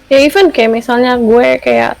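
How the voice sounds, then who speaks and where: A woman's voice: fairly high pitch, fairly even intonation, moderate pace, gravelly, a little mellow, soft background music. Chatty young woman with Jakarta accent. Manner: chatty, mixes Indonesian slang with English words. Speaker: podcast co-host. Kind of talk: casual chat.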